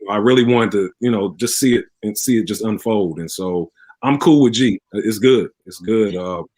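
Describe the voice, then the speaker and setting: Male cast interviewee, deep voice, informal, halting delivery with repeated phrases. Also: a little mellow. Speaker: radio drama cast member being interviewed. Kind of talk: casual interview chat.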